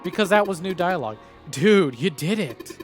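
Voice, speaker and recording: A man's voice: sits high, lively intonation, normal speed. A man streaming a visual novel, reading dialogue aloud and commenting in a playful, theatrical, sarcastic way. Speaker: game streamer. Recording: music behind the voice.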